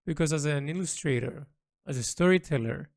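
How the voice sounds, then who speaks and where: A man speaking an unscripted, reflective audio log as an illustrator and storyteller, halting often to search for words and repeat himself. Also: on the high side, gravelly, darkish tone.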